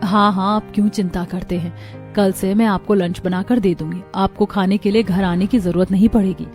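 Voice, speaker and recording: A woman voicing a humble, hardworking young daughter-in-law in a cartoon story, polite and gentle, with faint music behind. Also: medium pitch, fairly even intonation, moderate pace, natural voice.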